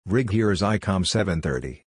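A man reading out short, separate scripted phrases deliberately, as the narrator of a practice drill. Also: low-pitched, expressive, a little hiss or hum. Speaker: Morse code practice narrator.

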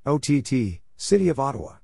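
A man's voice: medium pitch, very animated, brisk, vocal fry, background noise. A man giving a scripted reading for code practice, calling out spelled letters and place names as separate short announcements. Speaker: Morse code practice instructor.

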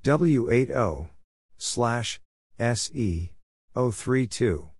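A man reading out scripted radio exchanges for Morse code practice, spelling letters and numbers one by one in a measured, deliberate way. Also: medium pitch, animated, darkish tone, slightly rough, no echo, clean studio sound.

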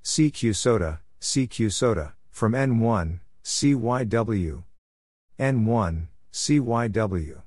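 A man narrating scripted radio exchanges for Morse code practice, reading in a measured way and spelling out call signs letter by letter. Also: lowish voice, lively intonation, darkish tone, slight background noise.

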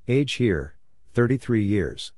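A man with a fairly low voice works as an instructor, reading a scripted drill. He speaks in clipped, telegraphic phrases separated by long pauses. The recording has noticeable noise and clicking behind him. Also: sing-song, darkish tone. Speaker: instructor reading Morse code practice exchanges. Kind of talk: scripted readout of short practice phrases. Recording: clearly noisy recording with clicks.

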